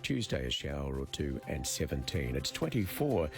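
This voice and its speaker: Deep creaky aussie guy reading radio weather, voice swoops around, faint music under him. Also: very animated, moderate pace. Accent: Australian. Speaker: radio news and weather reader. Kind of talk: broadcast weather and news read.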